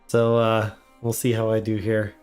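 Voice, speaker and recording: A man's voice: lowish voice, completely flat delivery, normal speed, a little mellow, soft background music. A man, a father of young kids, chatting casually while livestreaming a game, his voice a little congested. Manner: chatty, upbeat, greets chat often. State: slightly congested from a cold. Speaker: livestream gamer.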